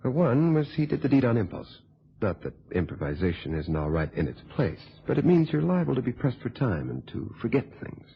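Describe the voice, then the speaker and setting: An actor playing a cool, calculating blackmailing reporter in a radio thriller, with a husky voice and a smug, sardonic way of telling things. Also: male voice, medium pitch, big pitch swings, full character voice. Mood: sly, expository. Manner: smug, sardonic, unhurried storytelling. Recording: dull, lo-fi old radio recording.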